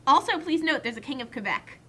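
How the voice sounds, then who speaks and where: A woman's voice: high voice, expressive, fairly quick, sharp tone, slight background noise. A woman giving joking, casual commentary as a co-commentator.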